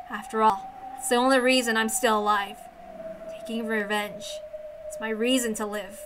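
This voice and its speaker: A woman's voice: a little high, noisy background, close to an everyday voice. A woman reading the brooding, intense monologue of a bitter, weary young woman obsessed with revenge in a visual novel. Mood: frustrated, defiant.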